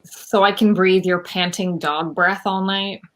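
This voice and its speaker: An earnest young eco-heroine acted by a woman in a radio comedy, easily exasperated with her friends. Her voice is mid-pitched, recorded over a video call. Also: fairly even intonation, unhurried, fairly bright tone, natural voice, poor audio. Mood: indignant. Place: room at home, video call.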